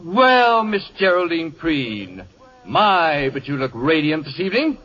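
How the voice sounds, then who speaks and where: A man's voice: a little high, very animated, a little slow, pushed and bright, exaggerated voice. A dull, band-limited 1950 radio recording carries an imperious, scheming male lecturer. His delivery is theatrical and sweeps up and down, sardonic one moment and falsely sweet the next, in a radio comedy manner. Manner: theatrical, sardonic, shifting to syrupy coaxing. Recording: muffled vintage 1950 radio broadcast.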